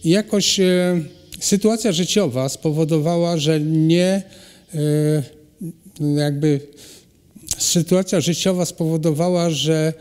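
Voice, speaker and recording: A middle-aged man, a ceramic artist, answering interview questions in a halting way with many pauses and restarts. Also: on the high side, a little mellow, smooth. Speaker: ceramic artist and cultural animator, guest. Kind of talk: hesitant conversational interview answers. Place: hall at a cultural centre. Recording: long reverb of a hall.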